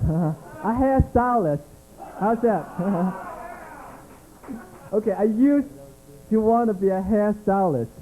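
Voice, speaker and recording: Giggly high-voiced man hosting comedy. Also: dark tone. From Vietnam. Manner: laughs at his own jokes, halting with restarts and throat-clearing. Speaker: comedy show host. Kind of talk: stand-up host banter. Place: small comedy club. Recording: tinny lo-fi live recording.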